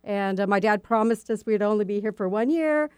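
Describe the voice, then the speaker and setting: A middle-aged woman speaking as a guest in a casual interview, relaxed and plainspoken. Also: mid-range voice, a little fast, clear, resonant tone, no room sound, studio quality.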